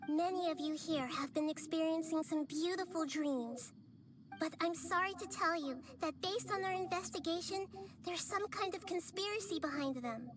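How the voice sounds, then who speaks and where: A woman voicing a small girl goddess in a game, speaking in a calm, thoughtful, earnest way. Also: very high voice, moderate pace, a little hiss or hum. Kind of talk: game voice acting. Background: game music.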